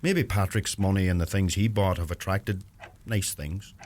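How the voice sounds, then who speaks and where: An actor playing an earnest, plain-spoken young Irish farmer with a Donegal accent, torn by guilt, in a radio play. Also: male voice, low voice, fairly even intonation, normal speed, a little mellow, lightly acted. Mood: quiet, probing.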